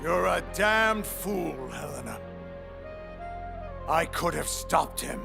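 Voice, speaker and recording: An actor playing a proud, vengeful warlord in a video game, with grandiose, declamatory delivery. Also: male voice, fairly high pitch, lively intonation, takes it slowly, gravelly, music in the background, full character voice. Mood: somber. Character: commanding, vengeful male warlord, proud and outraged. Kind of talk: dramatic video game voice acting.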